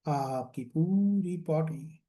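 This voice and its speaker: A man leads a guided relaxation as a meditation coach, soothing and deliberate, repeating phrases and letting them trail off. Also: a little high, dark tone.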